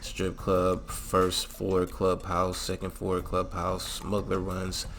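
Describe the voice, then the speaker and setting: Low-voiced man giving a tutorial. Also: completely flat delivery, slow, creaky, fairly bright tone, slight background noise. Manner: instructional, frequently checking in with the listener. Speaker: host of a game mod tutorial. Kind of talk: casual step-by-step tutorial narration.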